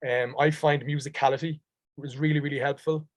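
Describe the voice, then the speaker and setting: An actor from Dublin with a Dublin accent, chatting easily in an interview with frequent ums. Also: male voice, fairly high pitch, steady tone, a little fast, no echo, studio quality. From Dublin, Ireland. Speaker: actor guest. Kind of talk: conversational interview answers.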